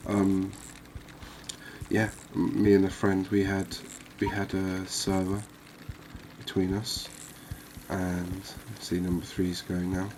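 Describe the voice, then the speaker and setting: A man giving calm, unhurried, soft-spoken ASMR gameplay commentary, musing aloud as he plays. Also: sits low, a little hiss or hum, poor audio. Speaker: gaming video host.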